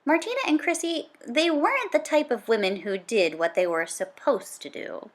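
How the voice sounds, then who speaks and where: A woman reading a children's nonfiction book aloud in a dramatic, emphatic storytelling manner, with punchy exclamations and drawn-out key words. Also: high-pitched. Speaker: storybook read-aloud reader.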